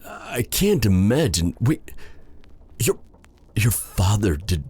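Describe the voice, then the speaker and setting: A man playing a proud but tender dark sorcerer in an intimate ASMR roleplay drama, speaking with halting, theatrical courtliness in a breathy, gravelly voice. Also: on the low side, sing-song, heavily acted. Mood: shocked, sympathetic.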